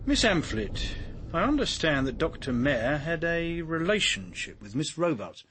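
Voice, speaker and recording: An actor playing a composed police chief inspector in a radio crime drama, questioning politely and methodically. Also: male voice, fairly high pitch, sing-song, a bit bright, a little hiss or hum. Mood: probing, formal. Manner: polite, probing, measured questioning.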